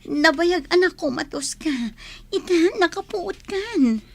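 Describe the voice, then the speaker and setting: A woman's voice: very high voice, slow, creaky, heavily acted. A woman playing a devoted, anxious, pleading wife in an audio drama, with a Filipino accent. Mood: anxious, tender. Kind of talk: Ilocano audio drama acting.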